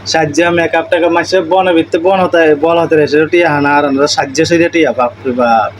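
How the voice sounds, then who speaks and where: High-pitched male, eastern Bengali dialect, teasing casual chat, street noise behind. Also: fairly even intonation, fairly quick. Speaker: vlogger chatting with a friend. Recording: noisy outdoor recording with traffic ambience.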